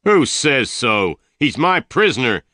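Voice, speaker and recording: A man's voice: sits low, a little slow, pushed and bright, dry recording, studio quality. A man voicing an angry, jealous and commanding boss in a western story, speaking in threats. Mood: scornful, commanding.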